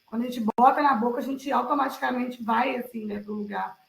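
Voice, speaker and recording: Woman, creaky voice, casual reflective sharing, echoey video call. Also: a little high, a little fast. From Minas Gerais, Brazil. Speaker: participant in an online cultural gathering. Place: online video call, roomy indoor space. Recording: roomy echo on a video call.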